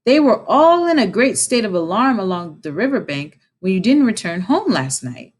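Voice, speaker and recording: A woman's voice: mid-range voice, sing-song, moderate pace. A woman voicing a bold, confident male otter in a storybook, with a breezy, exclamatory manner.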